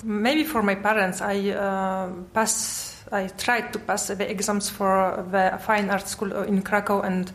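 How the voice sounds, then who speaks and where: A Polish-accented woman in her forties speaks English as a second language, pausing often to find her words. Her pitch stays level and flat, in a middle register. She answers questions in a halting, thoughtful way. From Poland. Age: 40s. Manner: hesitant, halting, searching for words. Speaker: artist guest. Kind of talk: interview answers.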